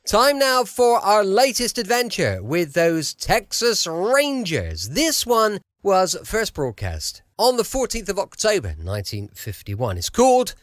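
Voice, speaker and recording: A man's voice: sits high, wildly swooping pitch, unhurried, few pauses, no room sound, clean studio sound. A man with a British accent hosting a podcast with a chatty introduction. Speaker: podcast host.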